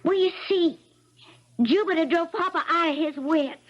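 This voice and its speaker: A woman's voice: very high voice, moderate pace. An actress playing an anxious, devoted young backwoods Southern girl with a plaintive rustic drawl, in 1970s radio drama style. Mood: worried. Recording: dull, muffled 1970s radio broadcast.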